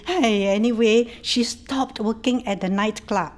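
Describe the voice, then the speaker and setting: A woman's voice: a little high, a little slow, natural voice. A woman playing a cheerful, motherly kampong auntie in a radio play, with a Singaporean accent and a reassuring manner. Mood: cheerful, fond.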